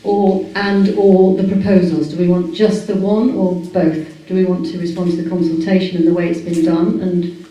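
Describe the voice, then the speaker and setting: A woman chairs a public meeting, pausing often with fillers and putting questions to the room. Her voice is mellow and dark. She is picked up from across a large, echoey hall. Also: lowish voice, little pitch movement, highs cut off. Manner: hesitant, many fillers, asks the room questions. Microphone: far camera microphone. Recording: echoey hall recorded from far off.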